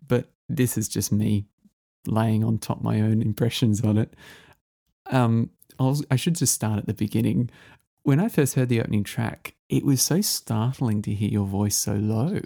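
A low, gravelly man hosting a relaxed music interview. His voice is mellow and dark, and he rambles enthusiastically, full of ums and restarts. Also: normal speed. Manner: rambling, enthusiastic, many fillers. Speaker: podcast host.

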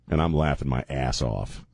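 Deep-voiced rambling male radio host. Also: animated, a little slow, gravelly, a little mellow. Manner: rambling, sardonic, restarts often. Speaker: radio talk show host. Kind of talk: casual talk radio monologue. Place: radio studio. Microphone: studio microphone.

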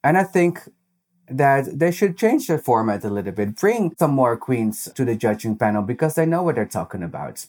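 A man's voice: mid-range voice, darkish tone. A man with a Dutch accent, talking casually as an interview guest about drag and filling his sentences with "you know". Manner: chatty, frequent "you know" fillers.

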